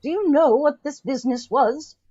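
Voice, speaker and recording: A woman's voice: high-pitched, moderate pace, warm tone, no room sound, close to an everyday voice. A woman playing a formal, insistent coroner in a radio drama, on slightly dull audio. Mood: stern. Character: formal, persistent coroner questioning witnesses.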